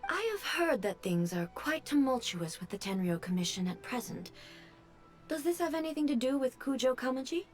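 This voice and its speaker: Regal calm ruler lady, slow formal talk, bit high. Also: soft background music. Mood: composed. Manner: measured, formal and reflective.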